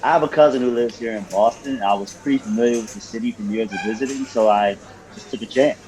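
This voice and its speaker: A man's voice: medium pitch, fast, a little mellow, lightly acted, rough recording. A man acting in an audio drama as a friendly paralegal on a coffee date, easygoing and conversational. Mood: friendly, interested.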